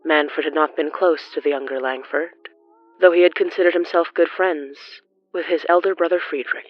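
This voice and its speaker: A narrator telling a gothic horror story in a measured, theatrical, scripted style. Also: mid-range voice, steady tone, dry recording, muffled recording, faint music behind.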